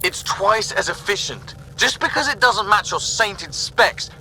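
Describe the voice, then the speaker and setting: An actor playing an indignant, sarcastic starship engineer in a video game, argumentative and biting. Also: male voice, high voice, lively intonation, measured pace, bright, forceful tone, a little hiss or hum, heavily acted, low-quality recording.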